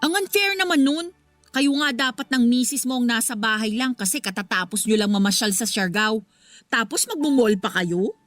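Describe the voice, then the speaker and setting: A woman's voice: fairly high pitch, lively intonation, moderate pace, a bit bright, soft background music. A woman with a Filipino accent playing a defiant, argumentative mistress who talks back in a radio drama. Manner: sassy, argumentative.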